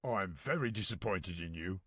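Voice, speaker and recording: A stern woodman father from a children's storybook, acted by a man, formal and reproving. His voice sits low and a little husky, with a dry recorded sound. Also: expressive, moderate pace, a bit bright, no room sound, full character voice. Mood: weary, disappointed. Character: stern, disappointed woodman father in a fairy tale.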